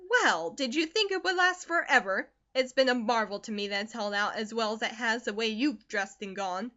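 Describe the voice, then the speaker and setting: A woman putting on a loud scolding farm mother. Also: a little high, lively intonation, normal speed, dull audio.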